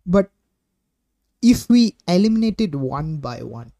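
Eighteen-year-old Malaysian English-accented male host; blunt, profane, animated delivery. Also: high-pitched, unhurried, vocal fry. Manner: blunt, swears freely, animated. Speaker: podcast host. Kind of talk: casual podcast chat.

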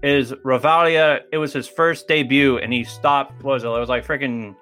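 A man's voice: medium pitch, fairly even intonation, normal speed, pushed and bright, faint music behind. A man co-hosting a casual football podcast, sarcastic and grumbling. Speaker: podcast co-host.

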